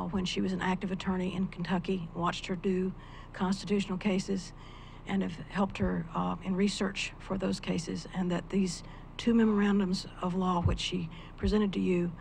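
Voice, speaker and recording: An older woman with a soft voice speaking earnestly and a bit hesitantly as a public commenter at a county meeting. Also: lowish voice, vocal fry, slight background noise. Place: county meeting room, indoors. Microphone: stand microphone.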